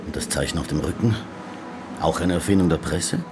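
An actor playing a dry, sardonic police inspector in a radio drama. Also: male voice, rumbling low, fairly quick. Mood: probing. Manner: dry, sardonic, hesitant when pressed. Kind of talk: German radio drama acting. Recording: music behind the voice.

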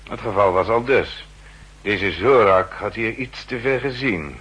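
An actor playing a formal, politely insistent manservant who is a coolly ruthless spy accomplice in a 1960s radio play. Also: male voice, fairly low pitch, a little slow, a bit bright, slightly rough, heavily acted. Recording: 1960s radio recording, faint hum and noise.

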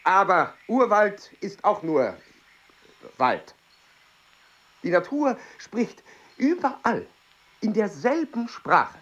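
An actor playing an earnest, formal explorer-scientist in a radio play, flustered and stiff in manner. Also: male voice, high voice, big pitch swings, slow, long pauses, a bit bright, lo-fi sound, full character voice. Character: earnest, driven male explorer-scientist, adult. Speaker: lead character in a radio play. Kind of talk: 1990s-2000s German radio drama acting.

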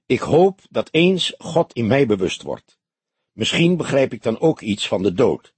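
A man voicing an old police detective in an audiobook, solemn, philosophical and gentle, speaking in a grave, measured, lecturing way. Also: mid-range voice, sing-song, slightly rough, no echo, exaggerated voice. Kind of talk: audiobook character dialogue.

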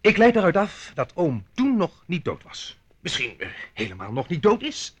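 Young male sleuth: earnest, argumentative, highish husky voice, radio drama. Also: wildly swooping pitch, normal speed, exaggerated voice. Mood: earnest, deducing. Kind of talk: Dutch radio drama acting.

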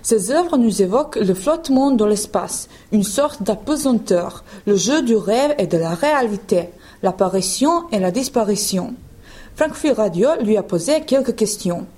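A woman co-presenter reading a clear, informative introduction on the radio. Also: medium pitch, lively intonation, normal speed, mellow. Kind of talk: read radio narration.

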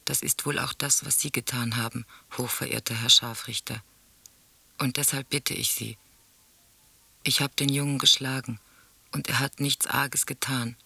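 Solemn storyteller, flat intonation, husky and tense, close dry sound, radio play recitation. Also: male voice, medium pitch, normal speed, dry recording, exaggerated voice. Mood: guilty pleading. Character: sombre male teller reciting grim memories and letters. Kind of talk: radio drama monologue, literary recitation.

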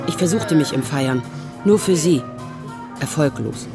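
A woman's voice: low voice, animated, unhurried. An actress playing a wry, reflective woman writer around forty in an audio drama. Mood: wry melancholy. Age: middle-aged. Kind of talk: literary first-person narration and acted dialogue in an audio drama. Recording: music behind the voice.

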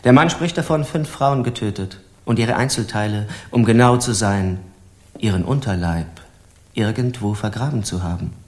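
A man's voice: on the low side, moderate pace, a little mellow, close to an everyday voice. An actor playing a harsh, scornful Parisian justice official in a German radio crime drama, curt and pompous as he interrogates. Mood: indignant, contemptuous.

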